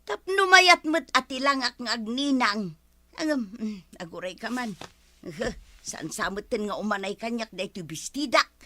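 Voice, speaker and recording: A woman acting a vain, nagging village wife with an Ilocano accent in a comedy radio drama, scolding and quick to retort. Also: a little high, lively intonation, bright, forceful tone, no echo. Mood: vain, bickering. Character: vain, nagging village wife who likes her lipstick.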